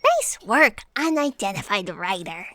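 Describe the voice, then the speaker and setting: A woman playing a perky, easily offended office bureaucrat in a comic audio drama, chipper and expressive. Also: medium pitch, measured pace, exaggerated voice. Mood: impressed.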